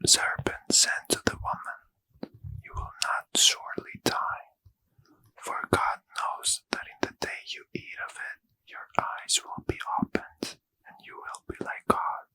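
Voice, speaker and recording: A man reading a bedtime scripture story in ASMR style, in a soft unvoiced whisper. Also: unhurried, low-quality recording. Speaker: ASMR reader.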